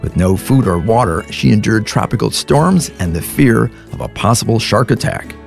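Male host, low voice, gravelly, measured and attentive, faint music behind. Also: lively intonation. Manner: measured, attentive, prompting questions. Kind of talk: interview host with scripted intro.

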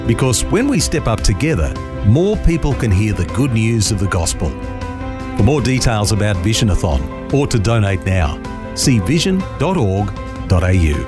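A man reading a scripted fundraising appeal for a radio station in an earnest, measured way. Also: on the low side, very animated. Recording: music bed underneath.

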